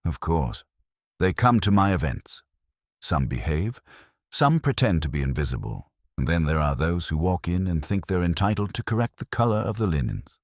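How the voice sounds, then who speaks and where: A man's voice: deep voice, animated, moderate pace, no echo, studio quality. A man voicing a haughty, affected male wine aesthete with pompous, precise speech, as in an audiobook. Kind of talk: audiobook character dialogue.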